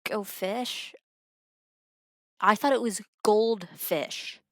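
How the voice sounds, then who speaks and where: Bossy, exasperated royal attendant. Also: female voice, medium pitch, drawn-out words, fairly bright tone, no room sound, studio quality. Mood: exasperated, confused. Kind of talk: comic audio drama acting.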